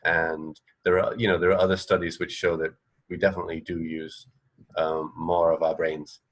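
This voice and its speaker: A man's voice: low-pitched, flat delivery, normal speed. A middle-aged man co-hosting a casual radio chat, explaining things in a hesitant way. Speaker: radio show co-host. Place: indoor room, video call.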